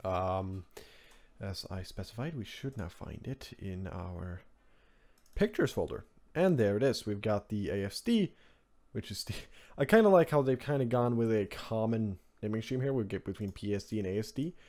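A man from Norway hosting a casual software tutorial in English as a second language, talking in a rambling, offhand way with informal asides. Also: fairly low pitch, expressive, normal speed. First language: Norwegian. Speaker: tutorial host. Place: indoors at a computer, screen recording voice-over.

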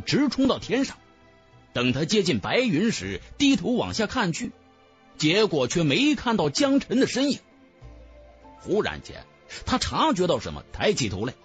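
A man's voice: high-pitched, breathy edge. A man narrating a fantasy novel as a dramatic scripted audiobook. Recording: music bed under the voice.

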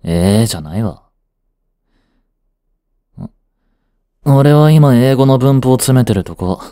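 A man's voice: medium pitch, animated, slow pace, dark tone, no echo. A man acting an aloof, dry but secretly fond teenage boyfriend in a romantic voice drama, speaking in a cool, curt, teasing way. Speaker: voice actor. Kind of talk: romantic situation voice drama, one-sided dialogue.

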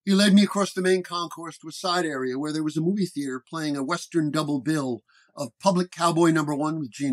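Male narrator, slightly high-pitched, measured delivery for a first-person noir horror story.

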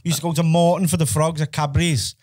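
High-voiced Scouse podcast host. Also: male voice, level tone, fast talker, a little mellow. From Liverpool, England. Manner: friendly, prompting with questions. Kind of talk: casual chat. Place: small studio with brick wall. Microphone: headset and desk mic.

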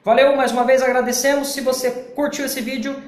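A man's voice: extremely high pitch, flat delivery, fairly quick. Chatty man in an echoey bare room. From Brazil. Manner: chatty, calls viewers "pessoal" and "galera". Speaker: tile-setting instructor. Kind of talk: casual how-to tutorial. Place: unfinished apartment kitchen, indoors. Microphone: camera at close range.

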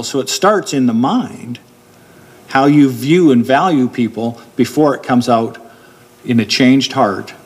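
A middle-aged man delivers a sermon at a church pulpit, with his voice taken through a pulpit microphone. He explains his points steadily and slows down deliberately when he wants one to land. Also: mid-range voice, husky. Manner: steady, explanatory preaching, slowing down to stress key points. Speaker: preacher. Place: church hall with a wooden pulpit.

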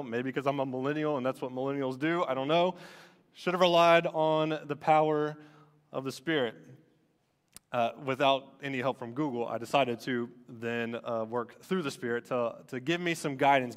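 A man in his thirties giving a relaxed, self-deprecating sermon from a church stage, with lots of filler words. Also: mid-range voice. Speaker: student minister. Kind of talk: casual sermon. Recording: long reverb of a church hall.

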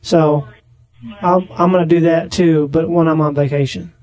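High-pitched man, naive rambling caller. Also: steady tone, darkish tone, low-quality recording. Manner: naive, rambling, slow on the uptake. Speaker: prank caller on a comedy podcast. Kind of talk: deadpan prank phone call.

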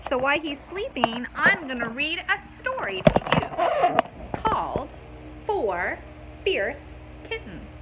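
A woman's voice: sits high, slow pace, over loud music. A middle-aged woman reading a children's story aloud expressively at home, talking to her young listeners. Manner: expressive, asking the listeners questions. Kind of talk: animated children's read-aloud. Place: room at home, indoors. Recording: faintly noisy home recording.

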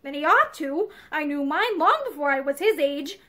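A woman acts out a grumbling, cynical husband from a storybook. The voice is high and swings widely in pitch, with a testy, sneering edge and a domineering way of talking. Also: expressive, moderate pace, sharp tone.

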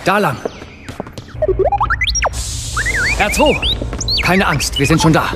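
Lively, cautious warrior mentor. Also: male voice, a little high, moderate pace, over loud music. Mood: urgent. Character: measured male warrior mentor, cautious and wryly patient. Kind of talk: audio drama acting.

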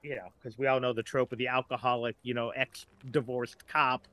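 A man's voice: medium pitch, little pitch movement, normal speed, sharp tone. A middle-aged man with an American accent talking casually as a podcast guest, thinking aloud in a rambling way.

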